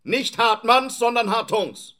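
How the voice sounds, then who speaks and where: High, sharp-voiced grudging servant Lampe. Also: male voice, animated, unhurried, full character voice. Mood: grudging, raised voice. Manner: stiff, drilled delivery. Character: reluctantly obedient, stubborn male servant. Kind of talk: radio play acting.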